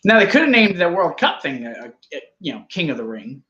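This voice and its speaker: Man, wrestling show reviewer, rambling, joking, animated pitch, echoey room. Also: dull audio. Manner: rambling, joking, quick to argue. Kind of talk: casual live review chat.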